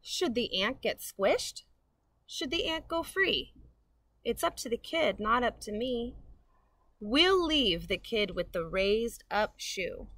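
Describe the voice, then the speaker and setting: A young woman reading a picture book aloud to children outdoors, speaking deliberately and asking the listeners questions. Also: fairly high pitch, animated, fairly bright tone, no room sound. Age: young adult. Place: outside in front of a house. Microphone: camera at a few feet. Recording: outdoor ambient noise.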